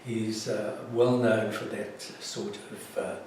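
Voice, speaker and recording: A man's voice: on the low side, steady tone, takes it slowly, darkish tone, a little hiss or hum. Distant man in a very echoey room. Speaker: presenter introducing an exhibiting photographer. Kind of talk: informal spoken introduction. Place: indoor art gallery.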